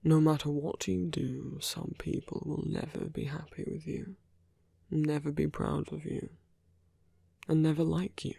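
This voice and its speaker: A villain murmurs at very close range in a dry, intimate audio roleplay performed by a man. The words come slowly, with lingering pauses and plenty of gravelly creak. The tone is sly and teasing, yet tender toward a supposed enemy. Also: a little high, little pitch movement, dry recording, heavily acted. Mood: tender, earnest. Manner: unhurried, hushed, pausing between phrases. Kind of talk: intimate ASMR roleplay acting.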